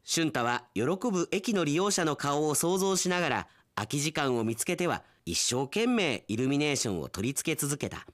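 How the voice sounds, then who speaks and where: A male radio announcer reads drama narration in an articulate storytelling way. His voice sits a little high and moves expressively up and down. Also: very animated, normal speed, few pauses, no echo, studio quality.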